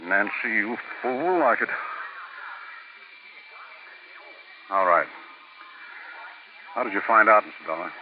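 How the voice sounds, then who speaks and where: A man's voice: on the low side, animated, moderate pace, close to an everyday voice. An actor playing a polite, earnest man in a 1950s radio drama, speaking courteously and expressively. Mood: tense, resigned. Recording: tinny old radio recording, loud music behind.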